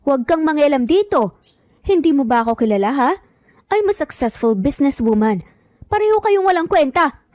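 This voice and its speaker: A snobbish, hot-tempered businesswoman, put on by a woman narrator in an audio romance story. Her voice sits fairly high and she scolds with haughty contempt, slipping into English. The recording is dry and close. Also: expressive, normal speed, darkish tone, dry recording. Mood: haughty anger. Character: arrogant, furious rich young businesswoman, snobbish and shrill-tempered.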